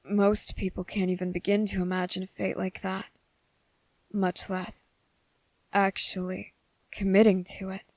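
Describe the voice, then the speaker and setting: A woman voicing a young, devoted and possessive male vampire in an ASMR roleplay. She speaks slowly and softly, close to the microphone, and slips into whispers. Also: medium pitch, no room sound. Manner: slow, soft-spoken and intimate, slipping into whispers.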